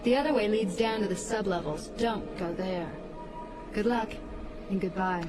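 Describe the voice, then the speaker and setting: An actress playing a tough, independent smuggler pilot with a brash, needling manner in a science fiction audio drama. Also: female voice, medium pitch, moderate pace, a little mellow, faint music behind, natural voice. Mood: firm, dismissive. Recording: clear spaceship ambience noise.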